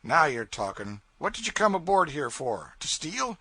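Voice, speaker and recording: A man voicing a stern but fair raftsman leader, gruff and commanding. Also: on the high side, very animated, normal speed, sharp tone, dry recording, voice pushed up, full character voice. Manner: gruff, commanding, then lenient.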